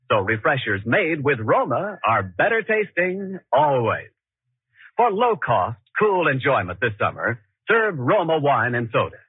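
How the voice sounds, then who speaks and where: A man's voice: mid-range voice, no echo. A male radio announcer reading scripted copy with polished, emphatic 1940s salesmanship. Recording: roughened, muffled 1940s radio broadcast, faint music.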